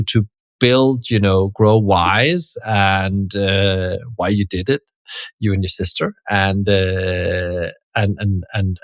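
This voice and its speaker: Low-voiced man hosting a podcast. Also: no echo. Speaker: podcast host. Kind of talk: podcast interview.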